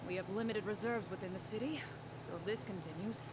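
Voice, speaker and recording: A woman voicing a jaded male trader in a game, gruff, sardonic and brusque. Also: medium pitch, quick pace, very noisy, voice pushed down, close to an everyday voice. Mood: resigned.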